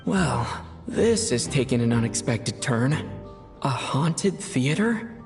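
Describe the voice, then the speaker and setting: Composed, inquisitive young traveler, varied intonation, video game mystery over clear music. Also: mid-range voice, very animated, slow. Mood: wry.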